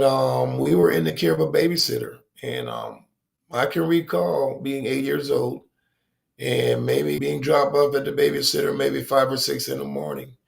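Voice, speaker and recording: A man around sixty with a deep voice, telling his recovery story as a guest in a reflective, halting way with many pauses and ums. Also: gravelly. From Rochester, New York, USA.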